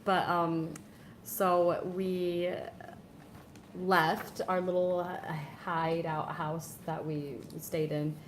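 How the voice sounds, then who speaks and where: A woman's voice: lowish voice, level tone, extremely slow. A woman player recapping a tabletop game in casual chat, hesitant with many fillers and giggles. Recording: echoey room, faint noise.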